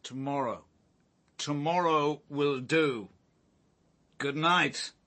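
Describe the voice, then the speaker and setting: An actor playing a distraught, befuddled drunk man in a radio drama, gruff, halting and groaning. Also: fairly high pitch, pushed and bright, exaggerated voice.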